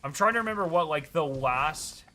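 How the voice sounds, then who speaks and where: A man's voice: a little high, expressive, normal speed, sharp tone, a little hiss or hum. A man in his late twenties chatting casually on a live stream, rambling and swearing as he reads and answers chat. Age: late 20s.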